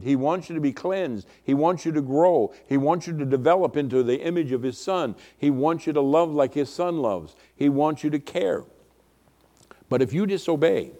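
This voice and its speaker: Booming male preacher, deliberate and emphatic. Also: dark tone. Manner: emphatic, deliberate, instructive, with repeated phrases. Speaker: pastor teaching a Bible study. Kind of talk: expository sermon.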